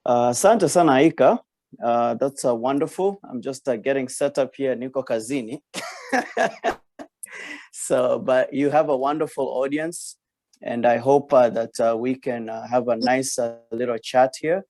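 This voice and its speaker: A man's voice: medium pitch, a little slow, a little mellow, dry recording. A man, a radiologist, speaking over a video call in a hesitant manner, full of fillers and switching between Swahili and English. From Tanzania.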